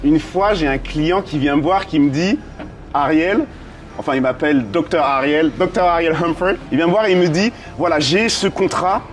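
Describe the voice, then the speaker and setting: A man's voice: on the high side, normal speed, faint music behind. A man from a French and American background answering interview questions conversationally as a business consultant, dropping in English business terms. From France and United States, dual background. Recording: clearly noisy ambient background.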